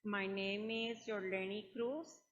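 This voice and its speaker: A middle-aged woman from Rica, giving a measured conference presentation with pauses and fillers. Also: mid-range voice, fairly even intonation, fairly bright tone, lo-fi sound, slight background noise. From Costa Rica. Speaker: conference speaker.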